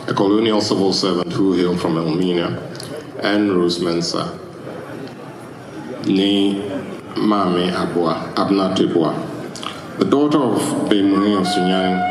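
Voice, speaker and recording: A Ghanaian-accented man formally reading a scripted funeral biography in a measured, deliberate way. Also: low-pitched. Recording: echoey PA sound with loud music.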